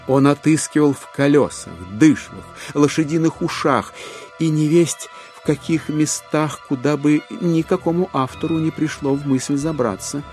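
A male narrator tells a literary story with a wry, expressive delivery. His medium-pitched voice moves in lively swings, and soft music with violin plays behind him. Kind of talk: scripted literary narration in an audio play.

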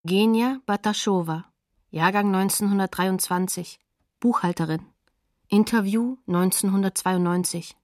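A woman's voice: mid-range voice, measured pace, a little mellow, no room sound, studio quality. A woman announcing sources in a factual, formal scripted narration for a radio documentary.

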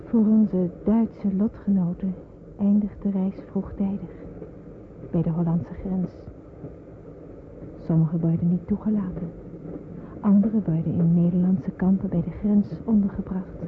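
A refugee daughter played by a woman narrates in a radio play. Her voice is mid-pitched and her storytelling measured, heard through a thin, noisy, narrow-band recording. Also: steady tone, close to an everyday voice. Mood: reflective. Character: young refugee daughter recalling the family's journey. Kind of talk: scripted first-person narration in radio drama. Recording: tinny radio recording with clear noise.

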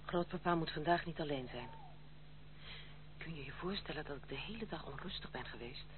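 A woman's voice: low voice, brisk, lightly acted. An actress playing a proud, stern young woman in a Dutch radio drama, speaking formally and resolutely. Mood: uneasy. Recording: tinny, noisy old radio play recording.

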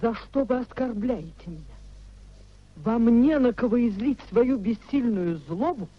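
Casting: proud, unhappily married noblewoman, high voice, measured stage diction, vintage radio drama. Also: expressive, breathy edge. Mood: offended. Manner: measured, dignified, theatrical. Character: dignified, wronged, unhappily married wife of a nobleman. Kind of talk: 1950s Russian radio stage acting. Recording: dull, muffled 1950s radio recording.

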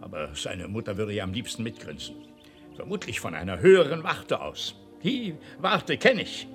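A man's voice: lowish voice, very animated, moderate pace, faint music behind. Domineering middle-aged father, wildly swinging voice. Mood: indignant, scornful. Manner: imperious, sarcastic, explosive. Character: domineering, hot-tempered father and company boss. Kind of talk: radio drama acting.

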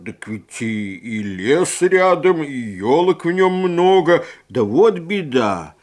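A man's voice: a little high, sing-song, takes it slowly. Man playing a regretful folksy village watchman. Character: kindly village school watchman, resigned, plain-spoken.

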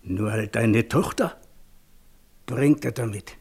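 An actor playing a teasing, rough country horse dealer in a German radio play, telling things in folksy Silesian dialect. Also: male voice, mid-range voice, darkish tone, full character voice. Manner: folksy, drawn-out storytelling.

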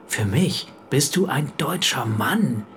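A man's voice: mid-range voice, animated, slow pace, fairly bright tone, slight background noise. An actor playing a drunken, middle-aged German Nazi businessman, sentimental and boastful, in a radio drama. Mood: maudlin, chummy. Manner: tipsy, chummy, declamatory.

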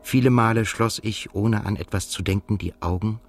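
A male actor playing a melancholy, haunted émigré narrator in a literary radio drama, speaking in a measured, reflective way. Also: lowish voice, soft background music, lightly acted. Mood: brooding.

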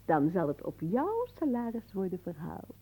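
Middle-aged female aristocrat for radio play: mellow, husky, expressive, genteel and haughty. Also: fairly low pitch, moderate pace, full character voice. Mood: stern. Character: haughty marquise, doting yet stern mother. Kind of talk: radio drama acting.

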